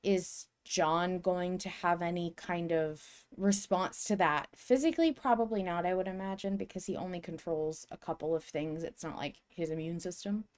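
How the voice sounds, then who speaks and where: Clear, low-voiced woman podcast co-host. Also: moderate pace, hardly any pauses. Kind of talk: casual podcast discussion, speculative analysis.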